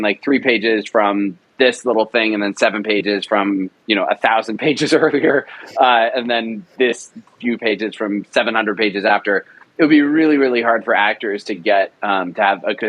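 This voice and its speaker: A man, a radio drama producer, explaining the logistics calmly with frequent fillers. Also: fairly low pitch. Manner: measured explanation with many fillers. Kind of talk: interview conversation.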